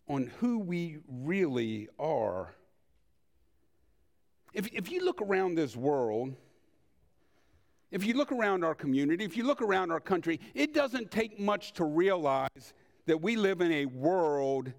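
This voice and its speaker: An older man preaching in a conversational, storytelling way, with rhetorical questions and deliberate pauses. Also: high-pitched, gravelly. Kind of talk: sermon with personal anecdotes.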